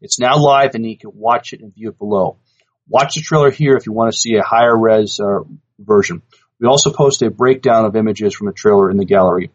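Low male co-host, muffled lo-fi audio. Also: brisk. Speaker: podcast co-host. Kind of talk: casual news reading and chat. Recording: dull, lo-fi podcast audio.

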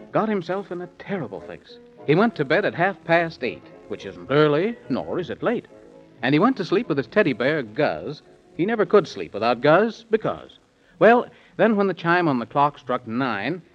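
A man narrating a children's story in singsong, bouncy rhyme, in 1930s radio storytelling style. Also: a little high. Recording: dull old 1930s radio recording.

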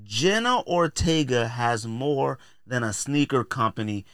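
A man's voice: medium pitch, animated, measured pace, a bit bright, clean tone, no room sound. A man hosting a podcast in a casual, opinionated rant, emphatic and exasperated, repeating phrases to drive points home. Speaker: podcast host.

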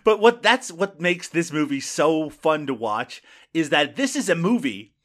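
A man's voice: a little high, sing-song, a little slow, a bit bright. A man co-hosting a casual podcast, reviewing a horror film with wry jokes, swearing and frequent laughs. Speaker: podcast co-host. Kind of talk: casual movie review chat.

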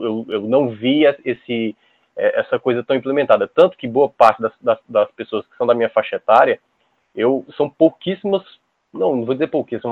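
A man's voice: mid-range voice, no room sound. Man from on a tinny line. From Ceará, Brazil. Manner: halting, many restarts and repeated words. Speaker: podcast guest. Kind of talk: casual podcast chat. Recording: dry tinny phone-like line.

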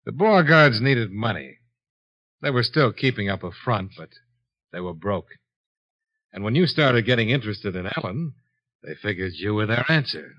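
An actor playing a shrewd, unhurried insurance investigator in a 1950s radio drama, with a dry, matter-of-fact delivery. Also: male voice, fairly low pitch, lively intonation, lightly acted. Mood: coolly accusing. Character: cool, shrewd male insurance investigator, hard-boiled and unhurried. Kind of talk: 1950s radio detective acting. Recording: dull 1950s radio broadcast recording.

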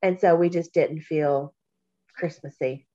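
A woman from Kentucky co-hosting a podcast, talking slowly and reflectively, with a stuffy nose from allergies. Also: sits low, fairly even intonation, muffled recording. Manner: slow, warm, reflective, with many pauses and fillers. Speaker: podcast co-host. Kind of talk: casual podcast chat.

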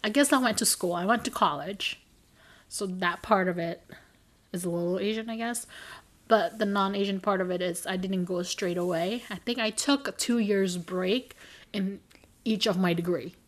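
A young woman in a medium-pitched voice talks her way through a casual podcast chat. She rambles, corrects herself mid-sentence and breaks into giggles. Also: normal speed. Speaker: podcast co-host.

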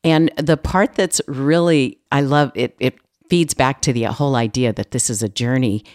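A man's voice: medium pitch, normal speed, dry recording. Male interview host, reflective and affirming, dry close sound. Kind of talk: podcast interview.

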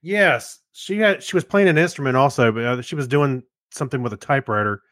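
A man's voice: medium pitch, a little fast, fairly bright tone, no room sound, clean studio sound. An American man co-hosting a podcast in casual, easygoing, joking conversation. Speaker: podcast co-host. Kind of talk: casual chat.

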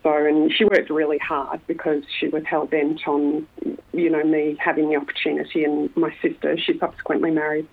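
An Australian woman in her forties from Melbourne, an electrical engineer recalling her upbringing in a reflective interview, often pausing with "um". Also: deep voice, little pitch movement, vocal fry, slightly rough. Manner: reflective, frequent "um" pauses. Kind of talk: conversational interview. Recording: tinny phone-line sound.

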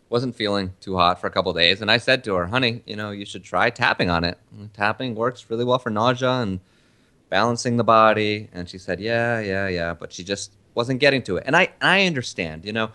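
A man with a very low voice hosts a podcast in relaxed chat. He teases his co-host and tells long, easygoing stories. He grew up in Argentina. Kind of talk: casual chat.

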